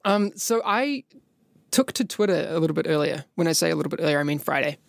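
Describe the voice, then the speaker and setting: A man's voice: on the high side, animated, quick pace, gravelly, no echo, clean studio sound. A man with a New Zealand accent hosting a casual podcast chat, joking and laughing often. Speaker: podcast host.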